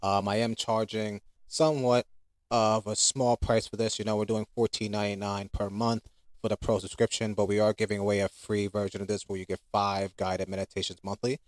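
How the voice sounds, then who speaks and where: Male, low-pitched, informal app creator talking through a product demo, close dry sound. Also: little pitch movement, brisk, no room sound. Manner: informal, conversational. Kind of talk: casual unscripted screen demo talk.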